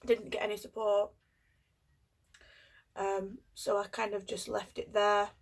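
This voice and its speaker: A woman in her twenties from Yorkshire with a cold, telling a personal story in a hesitant way with many pauses and ums. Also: mid-range voice, little pitch movement, creaky. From Yorkshire, England. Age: 20s. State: has a cold, coughing. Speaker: vlogger.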